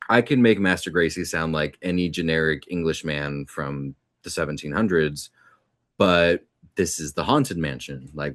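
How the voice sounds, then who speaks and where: Deep-voiced young man, easygoing interview guest. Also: no room sound, clean studio sound. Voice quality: deep natural speaking voice. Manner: easygoing, reflective, many fillers. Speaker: voice actor guest. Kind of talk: casual interview chat.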